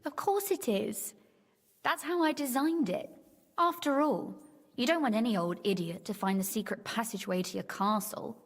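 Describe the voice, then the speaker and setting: A woman's voice: on the high side, normal speed, slightly rough, big-room sound. An actress playing a shrewd, teasing young medieval noblewoman in a video game, speaking in articulate, formal phrases. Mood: wry, confident. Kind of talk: scripted game dialogue.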